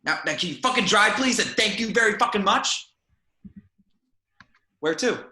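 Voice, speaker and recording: A man's voice: high-pitched, expressive, normal speed, bright, forceful tone, full character voice. A man playing a swaggering, hot-tempered, foul-mouthed teenage bully in an amateur script read, aggressive and sarcastic. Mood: angry, sarcastic. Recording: echoey room.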